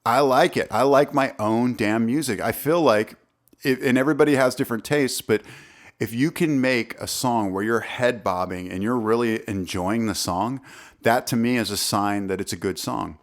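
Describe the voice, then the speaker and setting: A man with a deep voice talking casually to camera about songwriting, rambling with fillers and restarts, close on a studio condenser mic. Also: normal speed. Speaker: songwriter vlogger.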